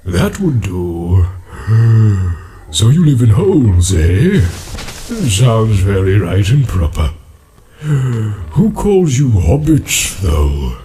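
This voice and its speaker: A man voicing an ancient, ponderous male tree giant in an audiobook, cautious and deliberate, humming and musing between phrases. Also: on the low side, very animated, mellow, slight background noise, heavily acted. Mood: measured, wary. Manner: slow, deliberate, drawn-out hums and musing repetitions. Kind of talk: audiobook character acting.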